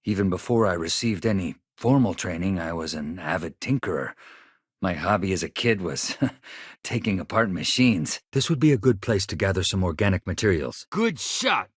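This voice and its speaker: A man's voice: deep voice, breathy edge, no room sound, studio quality, full character voice. A man acting in a video game as an earnest, grateful engineer haunted by an accident he survived, speaking politely and a little hesitantly. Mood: warm, reflective, then urgent battle shouts.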